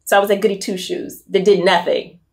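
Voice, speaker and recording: A woman's voice: medium pitch, steady tone, fairly quick, gravelly. A young woman from Nashville, telling her personal story as a podcast guest, candid and reflective. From Nashville, USA. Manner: candid and reflective, many fillers like "um" and "right?".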